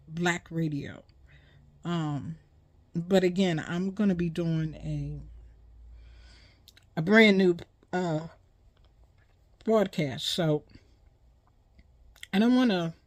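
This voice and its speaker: Older female host, 60s plus, unhurried halting delivery, close dry sound, informal educational talk. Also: lowish voice, dry recording. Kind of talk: informal educational monologue on radio history.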